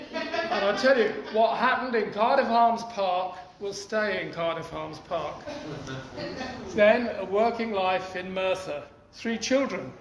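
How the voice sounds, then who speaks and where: A man in his sixties with a posh English accent, reading his poetry outdoors in an animated, storytelling way. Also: high voice, slow pace, reverberant, slight background noise. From East Africa. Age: 60s. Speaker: poet. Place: outdoors outside a cafe.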